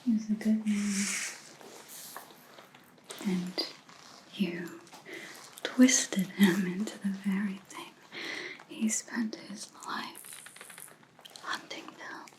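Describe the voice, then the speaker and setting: A woman's voice: medium pitch, steady tone, a little mellow, poor audio. A woman playing a grim, vengeful vampire hunter in an ASMR roleplay, whispering breathily and menacingly close to the microphone. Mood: bitter, vengeful. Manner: slow, deliberate, menacing.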